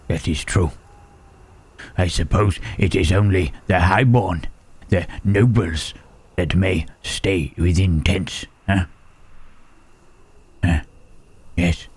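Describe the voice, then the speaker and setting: Man acting wary gentle creature, very slow, low-pitched, hushed, halting, old-fashioned wording, intimate ASMR roleplay. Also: breathy edge, heavily acted. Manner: halting, formal archaic phrasing, questioning "huh".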